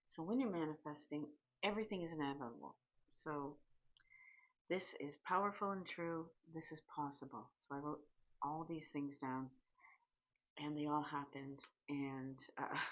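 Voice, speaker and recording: Husky woman in her sixties vlogging. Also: sits low, a bit bright, highs cut off. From Canada. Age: 60s. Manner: chatty, candid, with pauses and restarts. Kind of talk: casual personal vlog.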